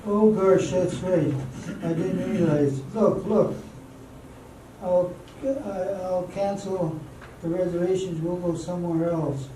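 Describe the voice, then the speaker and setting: A man playing an eager, polite, easily flustered gentleman in an amateur radio drama, recorded echoey and distant. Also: sits high, steady tone, takes it slowly. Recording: echoey, distant mic, faint room noise.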